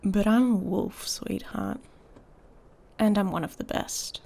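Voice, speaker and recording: A woman playing an irritated, haughty female werewolf in an intimate ASMR roleplay, breathy and often whispering, taunting and seductive. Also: medium pitch, mellow. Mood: taunting, grudging desire.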